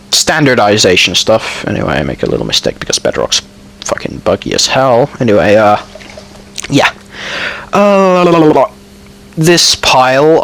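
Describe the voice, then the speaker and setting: A man's voice: mid-range voice, a bit bright. A man with a Finnish accent, speaking Finnish as his first language, giving a casual voice-over of his own gameplay. He rambles and hesitates, fills gaps with ums, corrects himself, and throws in dry asides. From Finland. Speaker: gamer narrating his building.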